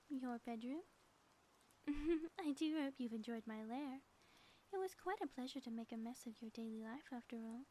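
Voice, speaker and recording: Woman playing a high-voiced theatrical ex-supervillainess. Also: mellow, slight background noise, close to an everyday voice. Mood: grandiose, teasing. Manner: theatrical, formal grandiose diction. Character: theatrical ex-supervillain woman, grandiose schemer, lovestruck devoted spouse. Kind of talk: ASMR audio roleplay.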